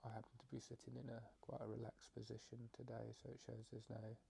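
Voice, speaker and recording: Husky, low-key man guiding a meditation. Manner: hesitant, halting phrases with long pauses; calm, gentle, soft-spoken. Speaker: meditation teacher. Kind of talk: guided meditation, unscripted instruction.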